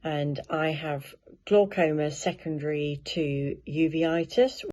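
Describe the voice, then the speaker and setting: A woman's voice: low-pitched, fairly even intonation, slow pace, darkish tone. Thoughtful woman in her fifties. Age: 50s. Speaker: former doctor. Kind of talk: personal testimony.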